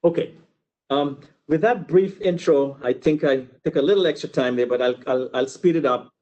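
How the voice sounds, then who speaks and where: A man's voice: on the high side, moderate pace, a little mellow, lo-fi sound. A man from Guyana giving an informal academic webinar lecture, explaining step by step with repeated words and asides. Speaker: university researcher giving a webinar.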